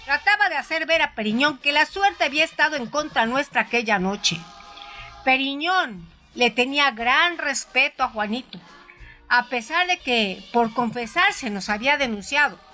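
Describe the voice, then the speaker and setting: A woman narrates a story in a high, bright voice. Her delivery is dramatized yet conversational, with hesitant pauses as though telling it herself. Soft music plays behind her. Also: animated. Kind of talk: dramatized first-person audiobook narration.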